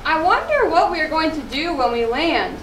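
An enthusiastic young puppet sailor, played by a woman, talks with urgency in a children's show. The pitch is extremely high and lively. The room is echoey with faint noise. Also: moderate pace. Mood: urgent. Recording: roomy echo, faint background noise.

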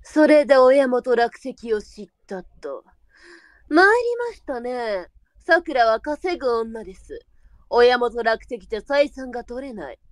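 A woman's voice: a little high, big pitch swings, slow pace, no room sound. A woman playing a composed, shrewd brothel madam in a Japanese period audio drama, polite and coolly businesslike.

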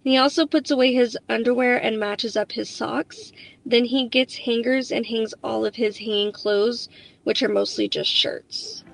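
Chatty woman with a gravelly edge. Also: on the high side, fairly even intonation, normal speed, low-quality recording. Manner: chatty, upbeat, talking to viewers. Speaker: cleaning vlogger. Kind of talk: voice-over narration.

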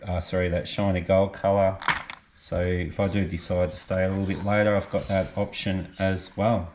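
Australian man, middle-aged, very low voice, relaxed and halting, faint background hiss. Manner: relaxed, rambling with many ums and restarts. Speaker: fishing vlogger. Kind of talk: casual gear walkthrough. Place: garage or shed, indoors.

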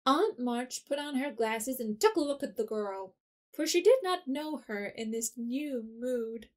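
A woman's voice: high voice, lively intonation, measured pace, a little mellow. A woman narrating a classic story in an audio drama. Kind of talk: scripted narration.

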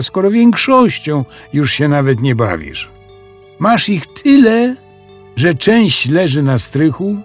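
A man's voice: a little high, darkish tone, slightly rough, voice pushed up, heavily acted. A man voicing a sensible young schoolgirl with an emphatic, chiding manner in a children's audio story. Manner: emphatic, drawn-out questions.

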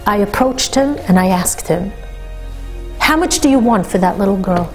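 A woman's voice: mid-range voice, expressive, moderate pace, soft background music, lightly acted. A woman voicing a humble, simple butcher, telling his tale earnestly. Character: humble simple male butcher, older man.

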